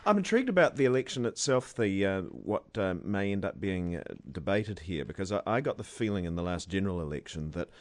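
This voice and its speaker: A man's voice: low-pitched, sing-song, a little fast, hardly any pauses. A man hosting a radio talk show, giving wry, scripted introductions.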